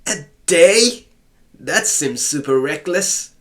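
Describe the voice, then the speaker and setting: A man's voice: fairly high pitch, expressive, slow pace. A man casually commenting while playing a visual novel, playful and sarcastic, joking back at the story text.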